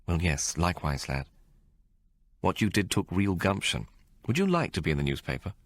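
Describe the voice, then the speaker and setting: A man voicing a calm, kindly Victorian gentleman reporter with a gentle manner. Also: low-pitched, moderate pace, no room sound.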